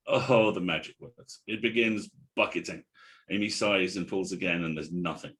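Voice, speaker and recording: Deep-voiced man narrating a script. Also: fairly even intonation, normal speed, fairly bright tone. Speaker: narrator at a table read.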